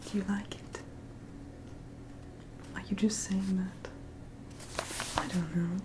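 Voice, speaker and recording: A woman playing a tender, teasing early twentieth-century sweetheart in an ASMR roleplay, speaking in a breathy whisper with a gentle, coaxing, playfully bossy manner. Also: medium pitch, flat delivery, slow pace, noisy background, lightly acted. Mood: coy, tender.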